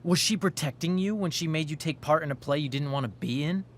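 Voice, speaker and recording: A man's voice: a little high, brisk, close to an everyday voice. A man playing an obsessive, self-righteous teenage boy in a video game, insistent and earnest. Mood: accusing. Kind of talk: dramatic video game voice acting.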